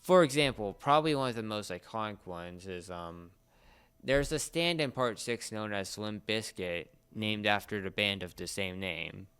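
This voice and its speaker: Halting, clear-voiced man in fan chat. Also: lowish voice, animated, fairly bright tone. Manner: halting, searching for words.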